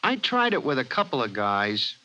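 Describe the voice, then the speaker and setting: A man's voice: mid-range voice, wildly swooping pitch, moderate pace, sharp tone. A man voicing a mild, streetwise talking mouse, a matter-of-fact henpecked little husband, in 1950s radio drama style. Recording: dull vintage 1950s radio recording.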